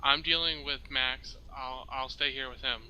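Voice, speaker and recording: Very sharp, bright male voice, directing others. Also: mid-range voice, fairly even intonation, moderate pace, smooth. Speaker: tabletop role-play player. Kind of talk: improvised role-play over group voice chat. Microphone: online voice chat. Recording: noisy online voice chat.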